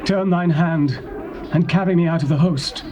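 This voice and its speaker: Man as resentful ancient king, fairly high voice, commanding, classical stage delivery, faint tape noise. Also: moderate pace, close to an everyday voice. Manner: commanding, declamatory. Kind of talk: dramatized Bible reading, classical stage acting. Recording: old cassette with faint noise.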